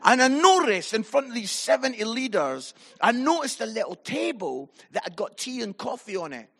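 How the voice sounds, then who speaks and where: A man preaching in church with a high, bright-sounding voice. His pitch leaps up and down as he tells a comic story. He gets loud on the punchlines and acts out the people he quotes. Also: big pitch swings, moderate pace, vocal fry. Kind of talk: humorous sermon storytelling.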